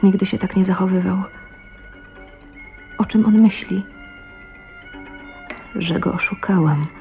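An actress playing a shy, troubled young wife in an emotional radio drama with intimate inner monologue. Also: female voice, mid-range voice, slow pace, creaky, soft background music. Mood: brooding, shaken. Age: young adult. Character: shy, troubled young wife of twenty-nine, brooding on her fate. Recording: muffled, noisy 1980s radio recording.

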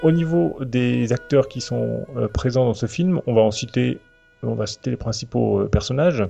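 Male podcast host, gravelly and mellow, hesitant film chat with music behind, dull lo-fi sound. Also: medium pitch, normal speed. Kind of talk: semi-prepared film discussion. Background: clear background music.

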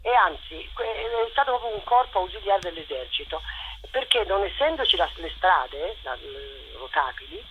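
A woman interviewed on radio as a theatre director, talking effusively in a halting, digressive storytelling way. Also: lowish voice, very animated. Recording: dull, noisy radio phone line.

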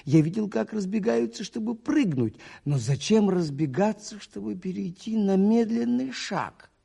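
Radio play priest detective: gravelly, dark-toned, thoughtful, questioning himself as he reasons. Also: high-pitched, lively intonation, a little slow, exaggerated voice. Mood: puzzled, musing. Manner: musing, questioning himself aloud. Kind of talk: radio play narration and monologue.

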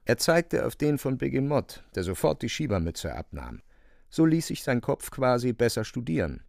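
A man narrating a literary radio drama with wry, expressive storytelling. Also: mid-range voice, moderate pace, gravelly, no room sound, clean studio sound.

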